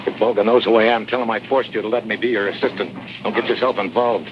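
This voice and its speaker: Actor as a low-voiced hard-boiled agent. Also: male voice, steady tone, fast talker, natural voice. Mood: guarded. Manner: terse, hard-boiled, firm. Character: tough, determined American undercover agent. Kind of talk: 1950s radio drama acting. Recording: muffled, noisy old radio recording.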